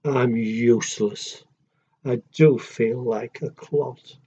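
A man's voice: mid-range voice, fairly even intonation, slow, mellow, breathy edge, dull audio, voice pushed down. A man voicing a shy, clumsy, tender-hearted male giraffe in a children's storybook. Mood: dejected.

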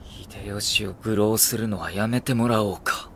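An actor playing a coolly ruthless, suave young military strategist in an anime audio drama, speaking in a smooth, measured, condescending way. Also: male voice, on the low side, fairly even intonation, a bit bright, slight background noise. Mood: indignant.

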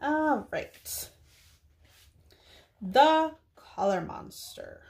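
A young woman, a preschool teacher, speaking to small children in a deliberate read-aloud style with drawn-out words. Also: high voice, very animated, gravelly, a little mellow. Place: small indoor room.

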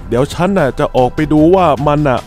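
A man doing animated, colloquial improvised roleplay for a game video, full of exclamations. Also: mid-range voice, fast talker, soft background music. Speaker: creator voicing characters in a Minecraft roleplay video.

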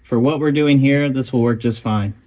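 A man calmly explaining each step of putting an engine back together, voicing over his repair work. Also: medium pitch, moderate pace, highs cut off. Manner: calm, matter-of-fact explaining. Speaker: host of a car restoration channel.

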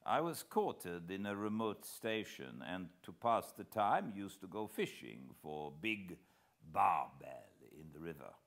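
A man voicing a wise, unhurried older Frenchman telling a hunting tale very slowly and deliberately in an audiobook reading. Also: deep voice, very animated, fairly bright tone, full character voice. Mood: suspenseful, reflective. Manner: very slow, deliberate, measured storytelling.

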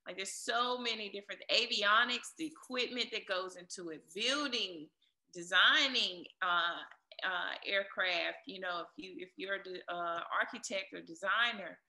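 A woman speaks as a guest in a casual interview. Her voice is strikingly bright and sharp, at a middling pitch. She talks in an encouraging, motivational way, and the sound is noticeably echoey. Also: unhurried. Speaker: interview guest, air traffic controller and DJ. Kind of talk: casual conversational interview answers.

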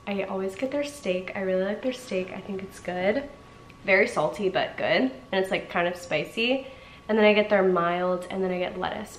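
A young woman in her twenties chatting casually to camera from her couch, nasal and congested from a cold, filling her talk with "like" and sometimes trailing off quietly. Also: medium pitch, roomy sound, noisy background. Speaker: vlogger. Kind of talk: casual vlog chat to camera. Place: living room, sitting on a couch indoors. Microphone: camera in front at sitting distance.

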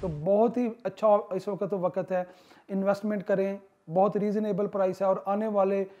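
A man pitches property in a high but mellow voice, pushing buyers with a steady sales patter. His talk is broken by frequent hesitant "uh" pauses. The voice-over sounds dull and lo-fi. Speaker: real estate consultant. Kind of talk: promotional voice-over.

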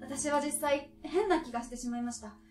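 A woman in her twenties giving a theatrical, expressive dramatic recitation of a literary story. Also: high voice, a little fast, slight background noise. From Tokyo, Japan. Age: 20s. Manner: theatrical, expressive delivery, acting out children's dialogue. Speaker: stage storyteller. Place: small indoor stage. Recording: echoey stage room with live music. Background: plucked harp music.

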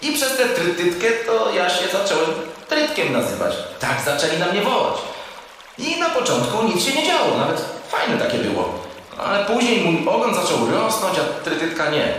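A friendly young merboy speaks in a high, boyish voice with a plaintive streak. It is children's radio play acting, set in strong echo and long reverb. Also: male voice, moderate pace. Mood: animated, plaintive. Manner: boyish, expressive, uses sound words. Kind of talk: children's audio drama acting. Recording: echoey, reverberant cave effect, faint noise.

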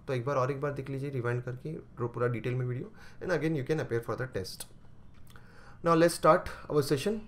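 A man with an Indian accent giving an explanatory video lecture, switching between languages and repeating phrases as he goes through slides. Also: mid-range voice.

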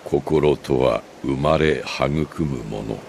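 An older man acting a solemn, remorseful old sage in a Japanese video game cutscene, speaking gravely and with deliberate pauses. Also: a bass voice, darkish tone, a little hiss or hum, exaggerated voice. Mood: solemn, earnest. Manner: grave, measured, philosophical delivery with deliberate pauses.

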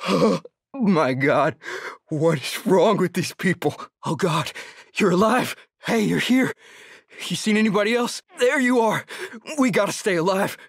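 An actor playing a frightened but determined young male survivor in a horror video game, with breathy, urgent delivery. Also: a little high, measured pace, dry recording, clean studio sound. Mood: fearful, disgusted, then urgent, reassuring. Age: young adult. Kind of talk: dramatic game voice lines.

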